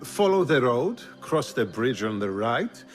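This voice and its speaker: An actor playing a sly, self-assured informant in a video game, with an insinuating, bargaining manner. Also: male voice, mid-range voice, sing-song, a little slow, faint music behind. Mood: sly, wheedling. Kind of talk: video game cutscene acting.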